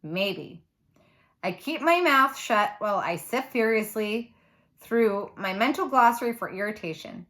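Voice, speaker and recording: A woman reading a children's novel aloud like a teacher, with animated, dramatic emphasis. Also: a little high.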